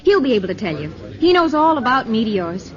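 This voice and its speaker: A woman's voice: a little high, big pitch swings, a little fast. An actress playing an enthusiastic, insistent young woman in a 1950s radio drama. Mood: eager. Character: eager, admiring young woman graduate. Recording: muffled vintage radio broadcast with crowd noise.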